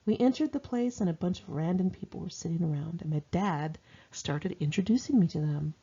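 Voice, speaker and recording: A woman's voice: fairly low pitch, a little fast, warm tone, slightly rough, dull audio. An American woman reads her own memoir in a conversational, candid, wry and slangy way. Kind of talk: conversational first-person memoir narration.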